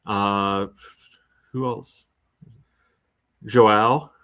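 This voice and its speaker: A man with a slightly low voice chats as co-host of a sports podcast. He is conversational and wry, working through his thoughts aloud as he goes. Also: fairly even intonation, drawn-out words. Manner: thinks out loud, wry. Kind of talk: casual podcast chat about soccer.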